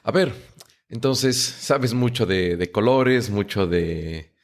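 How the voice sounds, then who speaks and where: Low-voiced male podcast host. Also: expressive, takes it slowly. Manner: friendly, repeats words and restarts often. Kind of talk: podcast interview.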